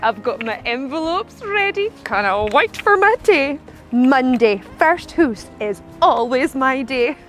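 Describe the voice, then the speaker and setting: A woman playing a theatrical, excitable pantomime fairy godmother with a North-East Scottish Doric accent, speaking in exaggerated rhyme to children. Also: high voice, unhurried, soft background music. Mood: eager. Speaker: pantomime performer. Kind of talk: rhyming pantomime monologue.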